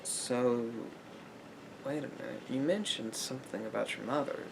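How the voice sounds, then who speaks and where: A man playing a calm, sympathetic therapist in an ASMR roleplay, speaking in a halting, pensive way with pauses. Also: gravelly. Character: calm, sympathetic male therapist talking to a client. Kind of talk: slow therapy roleplay ASMR, improvised and conversational. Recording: noisy recording with low rumble.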